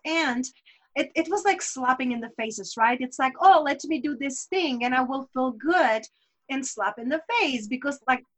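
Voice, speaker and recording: High-voiced woman. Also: expressive, moderate pace. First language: Czech. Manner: earnest, reflective, with rhetorical asides. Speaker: guest. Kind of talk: candid personal conversation.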